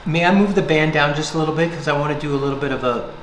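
An older man working as a chiropractor, calmly guiding patients through adjustments with upbeat coaching. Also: a little high, rapid-fire. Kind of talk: hands-on treatment talk. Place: bright clinic room. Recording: echoey room with clear background noise.

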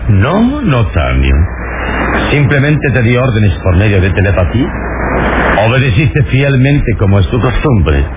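An actor playing a calm, mocking, confident adventurer hero in an old radio serial, with a deliberate theatrical delivery. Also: male voice, mid-range voice, phone-quality sound, heavy background noise. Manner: deliberate, theatrical, teasing chuckles.

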